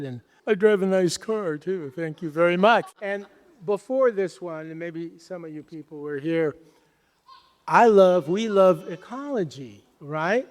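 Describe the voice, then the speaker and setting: A man's voice: on the high side, darkish tone. A man on a fan panel making joking, self-deprecating remarks. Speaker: showrunner panelist. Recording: long hall reverb.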